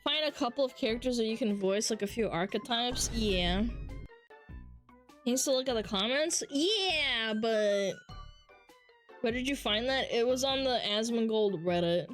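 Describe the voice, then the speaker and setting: A woman's voice: fairly high pitch, animated, measured pace, faint music behind. A woman with a nasal voice, chatting casually on a livestream and reading viewer comments in a playful, teasing way. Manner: playful, teasing banter with frequent laughs.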